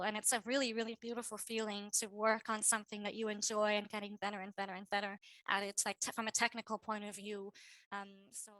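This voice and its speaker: A woman's voice: mid-range voice, sharp tone, poor audio. A German woman in her late twenties, chatting enthusiastically as a guest in a casual interview and laughing easily. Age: 20s. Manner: enthusiastic, bubbly, quick to laugh.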